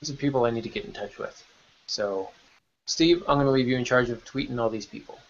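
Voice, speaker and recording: A man's voice: mid-range voice, fast talker, vocal fry. A man hosting a casual podcast panel chat in a joking, rambling way over an online call. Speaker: podcast host. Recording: dull lo-fi online call audio.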